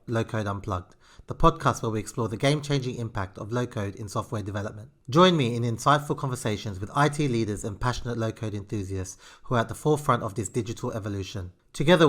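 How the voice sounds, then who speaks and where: A man hosts a podcast and talks with his guest in an easy, conversational way. His voice sits somewhat low. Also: a bit bright. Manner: conversational, uses "you know". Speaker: podcast host. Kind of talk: scripted intro, then casual interview.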